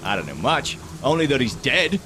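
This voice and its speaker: An actor playing an unfeeling, gossiping merchant in an audio drama, offhand and folksy. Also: male voice, mid-range voice, big pitch swings, moderate pace, background noise, lightly acted.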